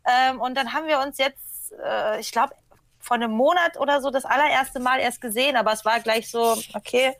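A woman's voice: high voice, a little fast, a bit bright, dry recording. A woman chatting casually in an interview, with a slangy, blunt, informal manner. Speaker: band member being interviewed. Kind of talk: casual chat.

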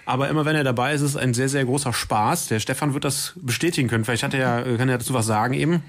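Fast-talking man in casual radio banter. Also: medium pitch. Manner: joking, tongue-in-cheek. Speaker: band member being interviewed. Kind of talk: casual radio studio chat.

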